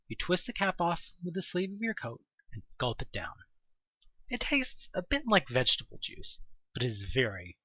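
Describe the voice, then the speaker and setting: A man narrates a horror audio play with lively swings in pitch and a bright, forceful, slightly rough voice. His delivery is dramatic and expressive, with a wry edge. The sound is dry and a little dull, like a modest home recording. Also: no room sound. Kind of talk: dramatic scripted second-person horror narration.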